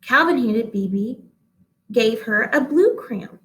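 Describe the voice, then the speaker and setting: A woman with a fairly high voice narrates a children's book. Her read-aloud style is expressive, aimed at young listeners. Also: slow.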